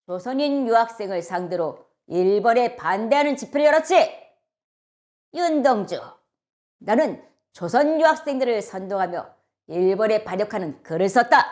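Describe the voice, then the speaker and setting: Harsh male police officer for a children's storybook, played by a woman, loud, commanding and accusing, pitched high. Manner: barking, commanding shouts.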